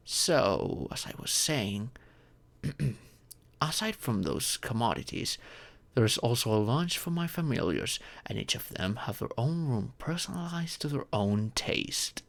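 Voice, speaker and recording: A man voicing a gentle, formal dragon who has taken in a child, speaking in a measured, theatrical way for an audio roleplay. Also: medium pitch, expressive, creaky, full character voice. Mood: genial, explanatory. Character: gentle, stately dragon adopting a sacrificed child. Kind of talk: audio roleplay addressed to the listener.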